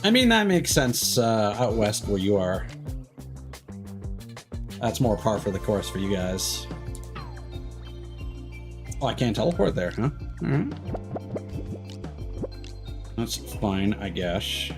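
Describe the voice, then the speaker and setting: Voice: mid-range voice, sing-song, slow, long pauses, music in the background. A game streamer giving casual live commentary, chatting and thinking aloud while playing.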